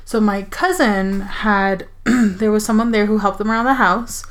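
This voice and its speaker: Young woman from the mexico border area, film chat, stops and restarts a lot, average pitch. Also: unhurried. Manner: hesitant, many likes and restarts. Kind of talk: casual film review chat.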